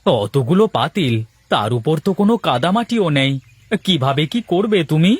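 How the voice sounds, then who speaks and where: A man acts out an obliging village potter in a cartoon. His voice is fairly high, husky and dry, and he talks in a mild, concerned, deferential way. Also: very animated, moderate pace, no echo. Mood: worried. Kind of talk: cartoon voice acting.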